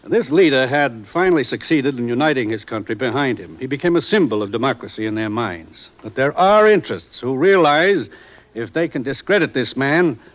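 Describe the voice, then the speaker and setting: An actor playing a stern, businesslike American government commissioner in 1950s radio drama, speaking with clipped authority. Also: male voice, medium pitch, lively intonation, a little fast, lightly acted. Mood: grave, businesslike. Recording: dull old radio recording.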